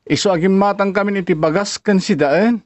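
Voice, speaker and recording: A woman's voice: mid-range voice, fairly quick, darkish tone, lightly acted. A woman playing a dutiful, caring child pleading with the parents in an Ilocano audio drama, with an Ilocano accent. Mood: earnest, caring. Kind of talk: Ilocano radio-style drama acting.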